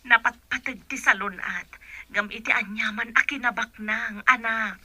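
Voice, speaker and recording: A woman playing a tender, worried middle-aged mother in a radio drama, with a gentle, plaintive delivery. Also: on the high side, fairly even intonation, normal speed, no echo.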